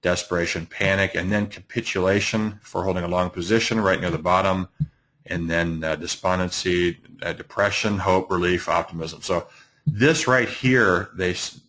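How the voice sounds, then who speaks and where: Very deep, slightly hoarse middle-aged man. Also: fairly even intonation, a bit bright. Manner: halting, many fillers and restarts. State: hoarse from allergies. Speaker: trading educator, webinar host. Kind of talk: live webinar presentation.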